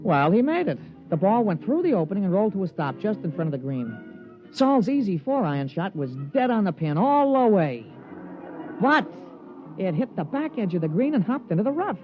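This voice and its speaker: An actor playing an excitable, loyal young American golf caddy who tells the story in a folksy, colloquial 1950s radio drama style. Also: male voice, sits high, fairly quick. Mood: colloquial, keen. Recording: muffled old radio recording, music underneath.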